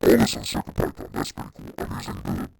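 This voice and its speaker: A man voicing a spooky monster-like figure through a pitch shifter that lowers his voice, talking casually in a tech demo. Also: quick pace, darkish tone, no echo. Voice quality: gravelly, muddy, artificial. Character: spooky, monstrously deep male costume voice. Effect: live pitch shifter, lowered.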